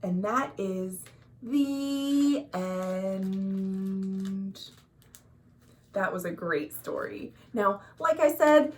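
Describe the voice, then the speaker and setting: An American woman hosting a storytime, reading a picture book to young children expressively with sing-song phrasing and explanatory asides. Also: a little high. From USA. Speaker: children's librarian and storytime host. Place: at home.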